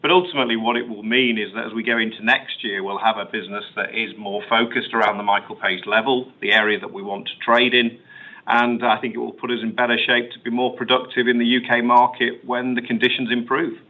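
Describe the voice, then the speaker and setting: Over a narrow phone-like connection, a man speaks at a medium pitch as a company executive. He explains things in measured corporate fashion, fielding questions on an earnings call. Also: little pitch movement. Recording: muffled phone-line conference call audio.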